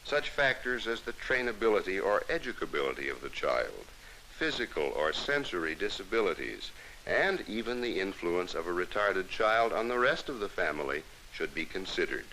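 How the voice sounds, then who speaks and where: Hissy 1960s film soundtrack, American male narrator, low bright voice, formal, instructive, scripted delivery. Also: very animated, moderate pace, poor audio.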